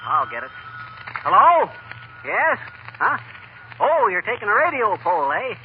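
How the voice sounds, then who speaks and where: A blustery, lazy husband, grumbles in a high, swooping, folksy voice at an unhurried pace. The old radio comedy recording is hissy and tinny. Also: male voice, big pitch swings. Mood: sheepish, protesting. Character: blustering, lazy, reluctant husband, grudgingly truthful braggart. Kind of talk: 1940s radio comedy acting. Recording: scratchy, tinny 1940 radio broadcast.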